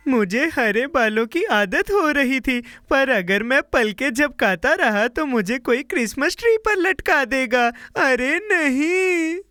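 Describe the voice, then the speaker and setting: A woman voicing an eager, impulsive little boy in a children's cartoon dub, expressive, with drawn-out exclamations. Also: sits high, no room sound, clean studio sound, full character voice. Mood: dismayed.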